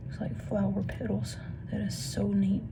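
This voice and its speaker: A woman with a Missouri accent talks in a flat, gravelly voice that keeps slipping down to a whisper as she loses it. She sounds like she is talking half to herself while she sorts stones at her table. There is a constant noisy hiss behind her. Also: mid-range voice, moderate pace. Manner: muses half to herself, often drops to a whisper. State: losing her voice. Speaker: rock tumbling vlogger. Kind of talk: casual off-the-cuff commentary. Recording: noisy room ambience.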